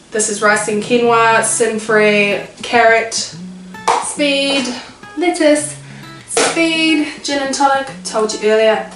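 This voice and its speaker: A woman's voice: on the high side, roomy sound, recorded from a distance, poor audio. A woman with a New Zealand accent chatting casually to viewers as she cooks at home, with self-mocking asides. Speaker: vlogger. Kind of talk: casual cooking vlog. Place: home kitchen.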